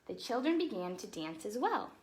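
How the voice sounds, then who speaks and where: Woman, highish voice, lively intonation, children's storybook reading, expressive, explanatory asides. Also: moderate pace.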